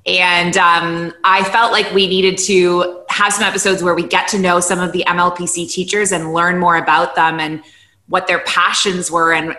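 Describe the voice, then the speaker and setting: A woman host with a bright, forceful voice sits low in pitch and asks questions with upbeat enthusiasm. Her voice rings in a roomy, echoing space during the video call. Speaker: podcast host. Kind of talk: casual interview hosting. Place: room in Abu Dhabi. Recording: roomy echo.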